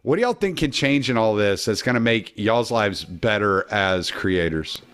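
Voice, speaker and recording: A man's voice: fairly low pitch, animated, normal speed, a bit bright, clear, resonant tone. A man hosting a loose group conversation over a video call, laughing often, swearing, and saying "y'all". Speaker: podcast host. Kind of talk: casual group chat.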